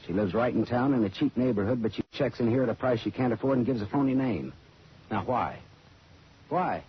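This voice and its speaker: An actor playing a tough, wisecracking, world-weary private detective in an old-time radio drama, with an American accent. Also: male voice, mid-range voice, a little fast, slight background noise, natural voice. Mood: tough, needling. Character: tough wry hard-boiled male private detective. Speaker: narrator and lead character. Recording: dull vintage radio recording with loud music.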